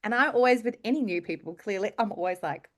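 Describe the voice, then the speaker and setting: Animated Australian woman telling anecdotes. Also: medium pitch, quick pace. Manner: animated, teasing storytelling with acted-out quotes. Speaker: podcast co-host, voice actor.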